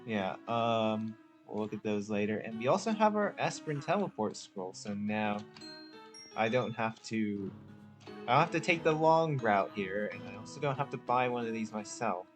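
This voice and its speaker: A man's voice: fairly low pitch, expressive, moderate pace. A man giving casual playthrough commentary on a game, laid-back and thinking aloud. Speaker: gamer, video host. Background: game music.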